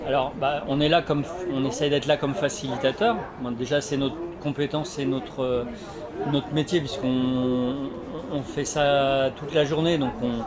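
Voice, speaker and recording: A man explaining his association's work in an interview, halting often as he searches for words. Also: mid-range voice, steady tone. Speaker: energy renovation association representative. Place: community associations forum in a sports hall. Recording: loud crowd hubbub at a fair. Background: loud crowd.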